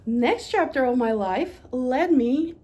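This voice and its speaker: A woman's voice: sits high, animated, slow, darkish tone. Earnest Russian-accented woman telling her story. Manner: earnest, lists in rhythmic emphatic beats. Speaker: university administrator. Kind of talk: prepared personal story talk.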